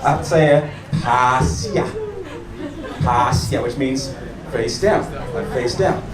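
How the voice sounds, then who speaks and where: An American man doing a stand-up comedy set on a club stage, animated and chatting with the audience. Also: mid-range voice, takes it slowly. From United States. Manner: animated, mimics locals and chats with the audience. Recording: echoey room taped from far off, crowd noise.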